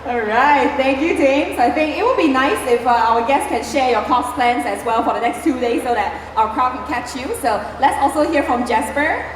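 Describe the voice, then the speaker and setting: A high-voiced woman hosts on stage, chatty and loose, full of fillers. Her voice rings through a big echoey hall. Event noise hums underneath. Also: normal speed, few pauses. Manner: chatty, filler-heavy, laughing asides. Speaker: event host. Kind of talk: casual stage hosting. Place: large indoor event hall.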